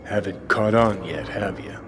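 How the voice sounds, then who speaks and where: A man's voice: fairly low pitch, unhurried, music in the background, natural voice. A man playing a cynical, brash rebel in a video game, with a laconic, sardonic and abrasive delivery. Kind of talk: scripted game voice acting.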